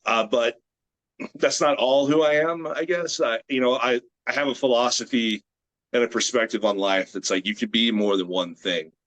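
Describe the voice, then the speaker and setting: A man in his late thirties, a grip strength athlete from the United States, chatting as a guest on a video call in a relaxed, rambling way with many fillers. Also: mid-range voice, moderate pace, dull audio. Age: 30s. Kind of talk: casual interview chat.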